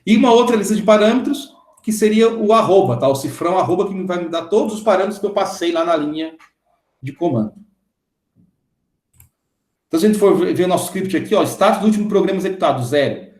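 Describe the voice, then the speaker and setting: Man in his thirties, a programming teacher with a slightly high voice, explains step by step, echoey room sound. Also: lively intonation, fast, a little mellow. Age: 30s. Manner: explains step by step, often checking in with the class. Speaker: programming instructor. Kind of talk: screen-recorded coding lesson.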